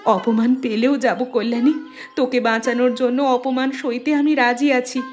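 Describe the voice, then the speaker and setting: A woman's voice: on the high side, steady tone, normal speed, soft background music, close to an everyday voice. A woman voicing a brave, devoted elder sister in a cartoon, earnest and determined. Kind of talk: cartoon voice acting.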